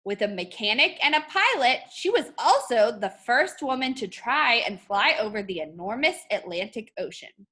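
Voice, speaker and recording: Bright, lively high-voiced woman reading aloud. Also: moderate pace, hardly any pauses. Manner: expressive, instructive, addressing young students. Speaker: art teacher. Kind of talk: children's picture-book read-aloud.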